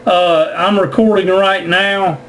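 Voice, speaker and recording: A man's voice: high-pitched, steady tone, slow, fairly bright tone. A man explaining things in a casual amateur radio conversation, often repeating his words. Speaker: amateur radio operator recording contacts for YouTube. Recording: noisy amateur radio audio.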